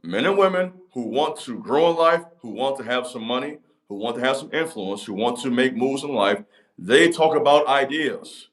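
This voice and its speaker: A man's voice: animated, normal speed. A man, giving a motivational talk to camera in an emphatic, repetitive cadence. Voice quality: deep voice. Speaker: channel host.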